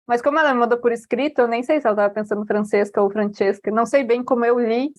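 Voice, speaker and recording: A woman in her thirties with a southern Brazilian lilt, telling stories in a relaxed, rambling way full of little fillers. Her voice sits a bit high, and the recording is dry and close. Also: quick pace, no room sound. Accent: Porto Alegre Brazilian Portuguese. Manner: chatty storyteller, laughs easily, many fillers. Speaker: novelist guest. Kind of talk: casual interview chat.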